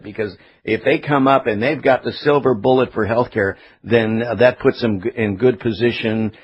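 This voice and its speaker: Middle aged guy doing talk radio, kinda raspy, opinionated and halting, slightly muffled broadcast sound. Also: lowish voice. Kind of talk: conversational live talk radio commentary and interviewing. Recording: dull, lo-fi radio broadcast audio.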